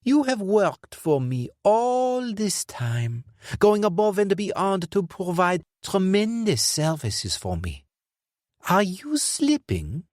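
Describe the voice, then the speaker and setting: A man voicing a menacing, sarcastic male crime boss who questions slowly and pointedly. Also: fairly high pitch, wildly swooping pitch, darkish tone, husky, dry recording, clean studio sound, pitched above the performer's own voice, heavily acted. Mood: sneering, cold. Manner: slow, pointed, mocking questioning.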